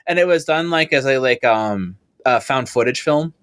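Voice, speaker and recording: Man, panelist, loud voice, swears a lot, rambling, filler-heavy casual chat. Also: mid-range voice, animated, normal speed, clear, resonant tone.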